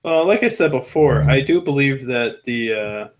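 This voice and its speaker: A man sits on a casual talk panel, speaking in an easygoing, halting way full of small pauses and restarts. His voice sits at an ordinary middle pitch. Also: expressive. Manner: hesitant, many fillers and restarts, easygoing. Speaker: panel co-host. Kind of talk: casual chat.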